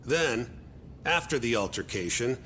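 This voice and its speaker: An actor in an English game dub playing a composed, assertive male lawyer who speaks formally and deliberately. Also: mid-range voice, lively intonation, bright, forceful tone, a little hiss or hum. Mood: confident.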